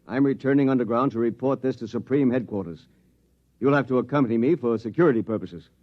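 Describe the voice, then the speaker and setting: A man's voice: mid-range voice, fast talker, lightly acted. A man playing a hard-line army colonel, commanding, curt and belligerent, in 1950s radio drama style. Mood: grim, hawkish. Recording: dull old radio recording.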